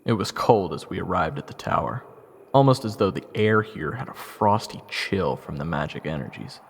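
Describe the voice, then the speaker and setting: A man's voice: low-pitched, expressive, moderate pace, vocal fry, slightly rough, full character voice. A man playing an eager but nervous young soldier who narrates his fantasy adventure with wry self-deprecation. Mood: wry narrating. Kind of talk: first-person fantasy audio drama narration. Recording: orchestral game music behind.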